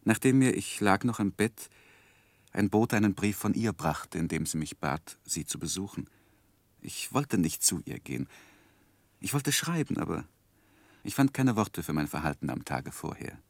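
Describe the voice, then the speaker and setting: An actor playing an earnest man looking back on his youth in a measured, reflective 1960s radio drama manner. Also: low-pitched, vocal fry, no echo, heavily acted. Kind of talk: 1960s German radio drama narration and acting.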